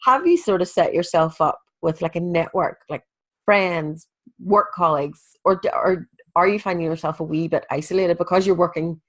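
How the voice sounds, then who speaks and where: A woman's voice: lowish voice, normal speed. Stuffy-nosed chatty woman host. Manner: chatty, friendly advice-giving. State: stuffy with a cold. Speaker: podcast host. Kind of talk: casual interview chat.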